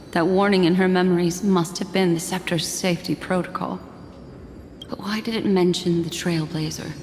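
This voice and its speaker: A woman voicing a calm, thoughtful, inquiring young woman in an anime-style game, softly reflective. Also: fairly low pitch, lightly acted. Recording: long reverb, music behind.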